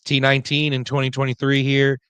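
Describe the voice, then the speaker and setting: A man chatting casually as a sports show panelist, doubling back on his words in a halting way. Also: medium pitch, monotone, a bit bright, clear, resonant tone, dry recording. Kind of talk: casual chat.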